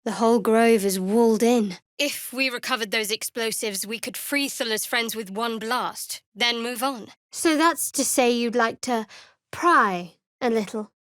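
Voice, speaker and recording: Young dark-faith cleric, fantasy game companion, cool and sardonic, slightly high voice, very dry recording. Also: female voice, unhurried, no room sound. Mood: cool, pragmatic. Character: guarded, wry young female cleric of a dark goddess. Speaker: video game companion character. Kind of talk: scripted fantasy game voice acting.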